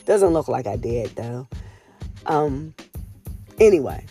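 A woman hosting her own podcast in a candid, casual monologue, her voice raspy and hoarse from a cold. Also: rumbling low, big pitch swings, slow, mellow, faint music behind. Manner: candid, earnest, swears casually. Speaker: podcast host.

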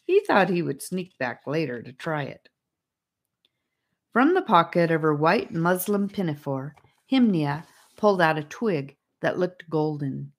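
A woman's voice: low voice, sing-song, a little slow. A woman reading a children's fantasy adventure as an audiobook narrator.

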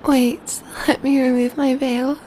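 A very high, mellow female vampire, acted by a woman for an ASMR roleplay, cooing and soothing as she pampers her companion. She takes her time, speaking slowly and tenderly, close to the listener. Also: little pitch movement, slight background noise, low-quality recording. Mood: tender, playful. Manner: tender, cooing, soothing, often dropping to whispers. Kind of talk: ASMR roleplay, soft intimate speech.

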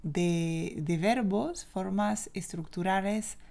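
Woman, French accent, language teacher, solo podcast, reflective, opinionated, warm tone. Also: medium pitch, slow pace, vocal fry. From France.

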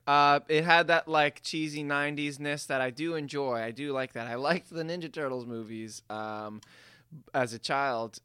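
A man's voice: fairly high pitch, steady tone, moderate pace, bright, forceful tone, clean tone, no room sound, clean studio sound. A man co-hosting a podcast in a casual, conversational chat. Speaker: podcast co-host.

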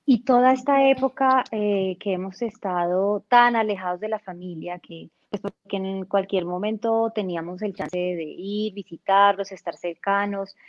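A woman's voice: medium pitch, normal speed, hardly any pauses, no room sound. A woman hosting an interview, asking long, wandering, encouraging questions. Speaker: interview host. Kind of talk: casual interview conversation. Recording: slightly dull sound.

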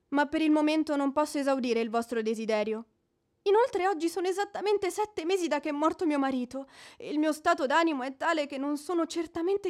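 A woman's voice: sits high, natural voice. An actress playing a proud, easily offended widowed lady in a radio drama, speaking formally, firmly and haughtily. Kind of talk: theatrical radio drama acting.

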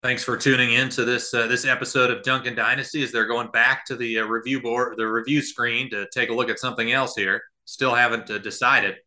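A man calling live basketball play-by-play as a podcast host, somewhat halting between plays. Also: mid-range voice, flat delivery, bright, forceful tone.

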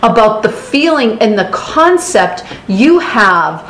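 A woman's voice: a little high, sing-song, takes it slowly, a bit bright. A woman with a Boston accent, co-hosting a podcast and musing through a book in casual discussion, with restarts and asides. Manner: thoughtful, talks in restarts and asides. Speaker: podcast co-host. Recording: echoey room with faint noise.

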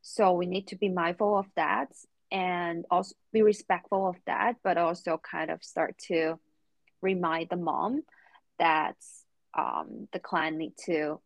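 Low-pitched young woman, English speaker. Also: steady tone, creaky, no room sound. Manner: halting, careful phrasing in non-native English. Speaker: podcast guest, recent therapy student. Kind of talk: reflective interview answers.

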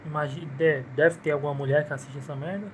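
A man's voice: fairly high pitch, steady tone, fast, a little hiss or hum. A man with a Brazilian accent hosting a solo podcast, rambling in a cynical, profane and self-mocking way while holding his phone to record. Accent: Brazilian Portuguese. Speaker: podcast host. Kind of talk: casual solo podcast monologue. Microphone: phone held in one hand.

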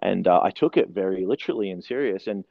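A man's voice: low voice, animated, quick pace, vocal fry, no echo, highs cut off. A man in his thirties with a mixed Australian and New Zealand accent, chatting as an interview guest and telling stories with lots of fillers and restarts. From New Zealand, raised in Australia. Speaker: guest, strength and conditioning coach. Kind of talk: casual interview conversation.

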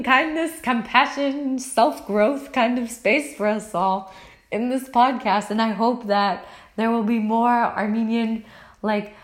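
A woman from the United States hosting a solo podcast, speaking casually and earnestly in loose, affirming phrases. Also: on the high side. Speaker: podcast host.

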